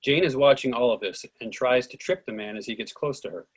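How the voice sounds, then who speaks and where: A man's voice: mid-range voice, brisk, slightly rough. A man reading stage directions aloud as scripted narration for a screenplay reading.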